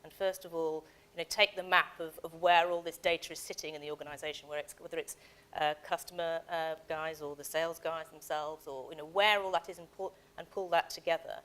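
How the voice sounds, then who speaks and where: A woman panelist answering a question, thinking aloud with halting starts and repeats. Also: sits low, fairly even intonation, fairly bright tone.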